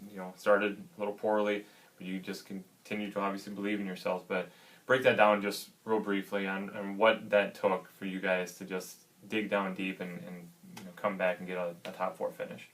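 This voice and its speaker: A man hosting a college athletics interview, upbeat and casual, with many fillers and quick agreements. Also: low voice, fairly bright tone. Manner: upbeat, filler-heavy, frequent backchannel agreement.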